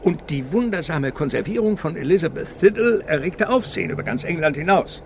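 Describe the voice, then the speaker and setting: A policeman in a radio crime drama, relishing eerie legends. His fairly high, gravelly voice swings widely and pauses for suspense, with outdoor traffic noise behind it. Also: big pitch swings. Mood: suspenseful, relishing. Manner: storytelling, pausing for suspense. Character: male English policeman recounting eerie cemetery legends.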